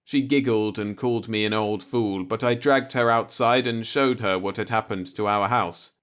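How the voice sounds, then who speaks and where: A man's voice: fairly low pitch, moderate pace, a bit bright, smooth. A man reading a light-hearted short story aloud as an audiobook narrator, in a scripted storytelling style.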